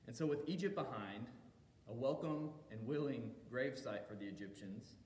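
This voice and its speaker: A man delivering a sermon as a pastor, telling the story in measured phrases with deliberate pauses and emphasis. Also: darkish tone. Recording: echoey hall reverb, faint low rumble.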